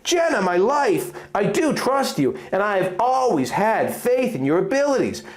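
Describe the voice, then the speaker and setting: A man's voice: high voice, very animated, moderate pace, pitched above the performer's own voice. A man voicing a protective, hot-tempered young hero in an emphatic, melodramatic story reading. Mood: tender, worried.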